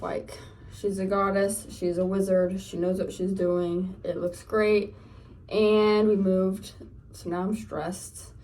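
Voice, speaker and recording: A woman's voice: mid-range voice, a little mellow. Rambling young Californian woman vlogging. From Northern California. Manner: rambling, chatty, lots of fillers. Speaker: lifestyle vlogger. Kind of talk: casual get-ready-with-me chat. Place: home, getting ready. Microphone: phone camera. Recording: voice a bit off-mic, from a distance.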